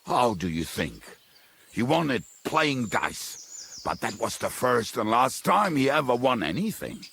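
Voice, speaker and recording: A man playing a roguish, foul-mouthed medieval mercenary in a video game, with a blunt, wry way of telling things and a gravelly, husky voice. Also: mid-range voice, big pitch swings, unhurried, fairly bright tone, a little hiss or hum, exaggerated voice. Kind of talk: dramatic video game dialogue.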